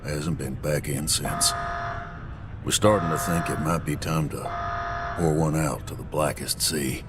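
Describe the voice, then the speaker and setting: Very deep-voiced weary bartender. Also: male voice, measured pace, very noisy. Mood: weary, somber. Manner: plainspoken, world-weary, colloquial. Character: weary, wary male Martian frontier bartender. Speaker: bar owner character. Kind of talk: video game cutscene acting.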